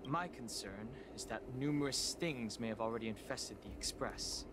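Game role: male actor as a calm, cautious young strategist, formal and grave, heard under loud noise. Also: mid-range voice, normal speed, close to an everyday voice. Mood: grave, concerned. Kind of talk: video game voice acting.

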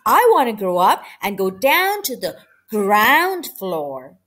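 A woman's voice: mid-range voice, very animated, slow pace, a bit bright, heavily acted. A woman voicing a young boy mouse in a children's storybook, eager and a little hesitant. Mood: earnest. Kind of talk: children's storybook character reading.

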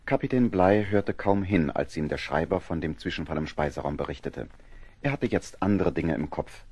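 A man's voice: sits low, normal speed, darkish tone, breathy edge, no room sound. A man reading scripted narration for an audio drama.

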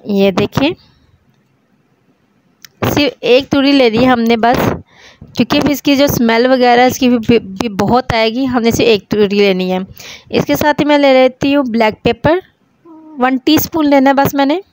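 A woman with a Pakistani Urdu accent, hosting a home cooking channel, calmly explaining each recipe step in a voice-over. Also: fairly high pitch, moderate pace, pauses often, a little hiss or hum, rough recording. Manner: calm, repeats each step.

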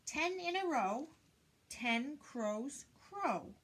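An older woman reads a picture book aloud to young children, counting in a deliberate singsong and prompting them with questions. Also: high voice, big pitch swings, fairly bright tone, husky. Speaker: children's teacher reading aloud. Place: indoors, small room lined with bookshelves.

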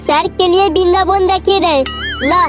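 Someone voicing a brash, high-pitched cartoon schoolboy troublemaker. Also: fairly even intonation, quick pace, over music. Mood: resentful. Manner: crude, brash slangy banter. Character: foul-mouthed cheeky schoolboy, lazy troublemaker who copies in exams. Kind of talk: comedy cartoon dialogue.

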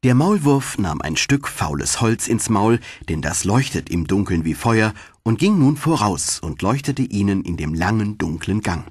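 A man narrating a fairy tale for children as an audiobook, in an expressive, dramatic storytelling manner. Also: lowish voice, normal speed, few pauses, breathy edge, no room sound, clean studio sound.

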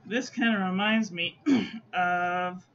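A man chatting casually while swatching ink at his desk, phlegmy and clearing his throat, with self-mocking asides. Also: high voice, steady tone, slow, fairly bright tone. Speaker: ink reviewer. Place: small room at a desk. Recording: dull lo-fi audio with faint room noise.

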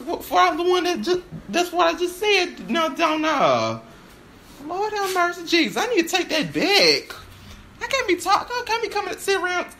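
A woman performing an improvised stage-play monologue, halting and stammering as she repeats her phrases. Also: very high-pitched, sharp tone, a little hiss or hum.

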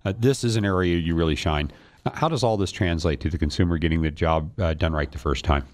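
A man's voice: low-pitched, quick pace, darkish tone. A middle-aged man interviewing guests conversationally as the host of a radio talk show.

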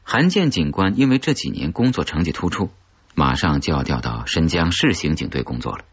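A man narrating a crime thriller audiobook in a husky, measured, dramatic storytelling manner. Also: extremely low pitch, very animated. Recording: slightly dull, lo-fi audio.

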